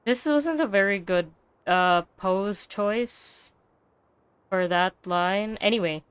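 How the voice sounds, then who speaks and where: A woman's voice: mid-range voice, takes it slowly, a little hiss or hum. A woman giving casual, wry commentary as she plays a video game. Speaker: game streamer.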